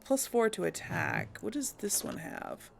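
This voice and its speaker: A woman with a creaky voice giving casual, easygoing game commentary, musing to herself as she plays. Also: medium pitch, wildly swooping pitch, measured pace, slight background noise. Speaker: let's play host. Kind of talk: casual game commentary with light role play. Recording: faint background game ambience.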